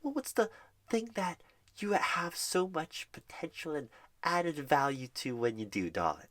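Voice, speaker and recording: A job recruiter in an ASMR roleplay phone screen, folksy and sweet, calling the listener darling and honey and sometimes dropping to soft whispers, with keyboard typing behind. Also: medium pitch, very animated, measured pace, no room sound. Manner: drops to soft whispers at times.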